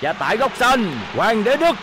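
A man voicing an excitable ring announcer in a dubbed cartoon, with a loud, drawn-out showman's delivery. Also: very high voice, background noise. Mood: excited. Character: excitable male robot-wrestling ring announcer.

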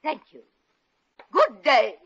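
An imperious, outraged society lady. Her voice is very high and sharp, swooping wildly with affront. The vintage radio comedy recording is dull. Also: big pitch swings, slow pace, noisy background, exaggerated voice. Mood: haughty, outraged. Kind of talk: 1950s radio comedy acting.

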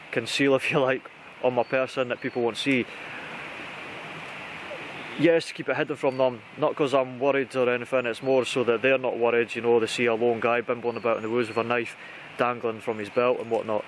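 A man in his thirties with a British accent, giving an informal, chatty gear walkthrough to camera as an outdoor vlogger. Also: mid-range voice. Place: outdoors in conifer woodland. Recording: outdoor recording with clear background noise.

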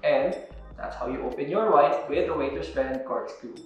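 Young guy walking you through a demo, slightly high voice, super echoey room. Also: moderate pace, dull audio, faint music behind. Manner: friendly step-by-step explaining. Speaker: wine presenter. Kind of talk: instructional demo. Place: indoor room with a table.

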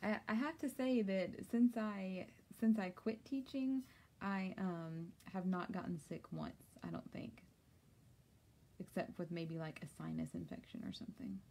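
A woman's voice: mid-range voice, a little slow, dark tone. A young woman chatting casually while she crafts, answering viewers and laughing often. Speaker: crafter doing a tumbler tutorial. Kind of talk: casual live-stream chat while crafting. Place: home craft office.

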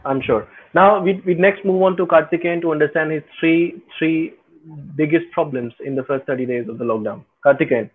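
A man's voice: fairly high pitch, brisk. A man hosting a panel discussion over a video call. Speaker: panel host. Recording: tinny, phone-like video call line.

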